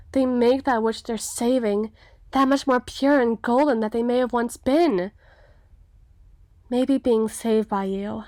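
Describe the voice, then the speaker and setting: A woman's voice: fairly high pitch, darkish tone, dry recording, natural voice. A woman playing a young, melancholy, self-doubting storybook damsel in an ASMR fantasy roleplay, with theatrical, hesitant, old-fashioned formal phrasing. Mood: tender awe.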